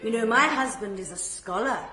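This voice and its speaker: An actress playing a vain, imperious society countess in a radio drama, with a grand, affected manner. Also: female voice, a little high, a little fast, bright, forceful tone, echoey room, faint music behind. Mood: imperious, gracious.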